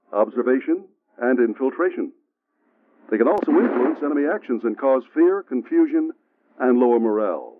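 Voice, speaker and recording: A man giving steady, measured scripted narration for a military training film. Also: medium pitch, no echo. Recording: tinny phone-like sound from an old training film, faint music.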